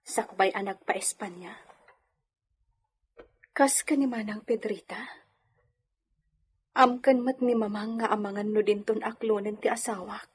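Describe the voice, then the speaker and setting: A woman's voice: medium pitch, steady tone, a little slow, pauses often, slightly rough. A woman in a radio drama playing a troubled young married woman brooding over her family. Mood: worried.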